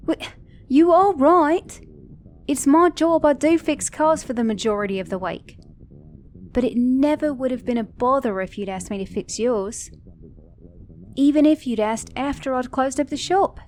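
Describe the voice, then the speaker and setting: A woman's voice: sits high, a little mellow, slight background noise. A woman with an Australian or British accent playing a sassy, caring mechanic girlfriend in an ASMR roleplay, speaking emphatically and affectionately to a partner. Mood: upset, scolding. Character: sassy, caring female mechanic girlfriend, scolding then tender. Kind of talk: ASMR roleplay audio drama.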